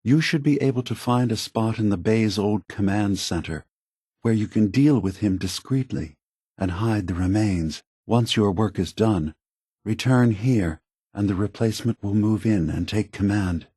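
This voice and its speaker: An actor playing a calm, grave synthetic man, a weary scheming leader, with a husky, measured, deliberate delivery for a video game. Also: lowish voice, dry recording, studio quality. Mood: cold, conspiratorial.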